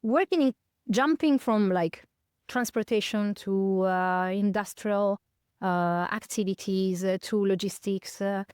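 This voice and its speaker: A woman in her fifties from northern Italy with an Italian accent, a podcast guest speaking in English as a second language, thoughtful and pausing with many fillers and restarts. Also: medium pitch, darkish tone, smooth, no room sound, studio quality. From northern Italy, near Milan. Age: 50s. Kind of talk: reflective conversational interview.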